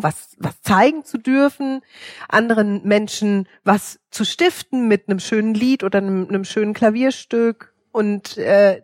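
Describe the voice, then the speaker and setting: A woman's voice: mid-range voice, no echo, clean studio sound. A cheerful woman from Hesse, a guest on an interview podcast, telling her story casually and laughing readily. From Hesse, Germany.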